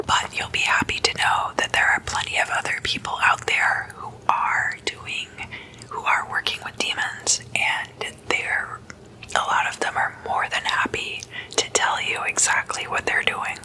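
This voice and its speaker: A person whispering in a breathy, unvoiced voice, chatting and explaining as an ASMR host in a deliberate manner. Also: poor audio. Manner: slow, deliberate, with drawn-out pauses.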